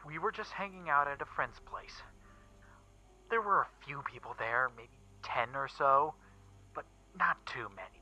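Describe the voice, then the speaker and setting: Man acting a haunted, sharp-voiced horror story witness. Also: on the high side. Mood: uneasy, haunted. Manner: halting, haunted, emphatic, with stammers and repeats. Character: shaken young male witness recounting an occult horror. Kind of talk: dramatic first-person horror story reading. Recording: muffled recording with background music. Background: eerie background music.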